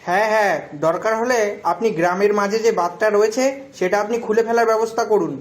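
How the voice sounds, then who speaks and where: A man playing an eager, practical villager in an animated folk tale. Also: high-pitched, fast talker, natural voice. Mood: agreeing, urging.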